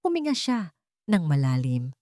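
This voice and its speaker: A woman's voice: low voice, wildly swooping pitch, a little slow, mellow, dry recording, clean studio sound. A woman with a Filipino accent reads a scripted story as a dramatic narrator.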